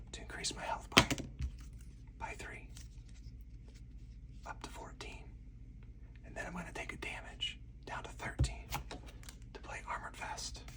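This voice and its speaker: Male ASMR game host: hushed breathy whisper, slow and deliberate, a little off-mic. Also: recorded from a distance. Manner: slow, soothing, deliberate step-by-step narration. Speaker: solo board game player and channel host.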